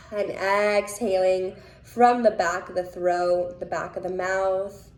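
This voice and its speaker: A woman leading a guided breathing visualization in a soothing, unhurried manner with pauses between phrases. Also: medium pitch, little pitch movement, a little mellow. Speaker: guided meditation leader. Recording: echoey room with faint noise.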